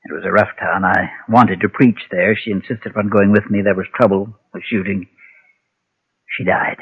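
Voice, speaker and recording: An actor playing a guilt-ridden former preacher and gunfighter, solemn and halting, in a Golden Age radio western. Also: male voice, fairly low pitch, fairly even intonation, slightly rough. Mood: haunted, sorrowful. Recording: muffled, thin vintage radio recording.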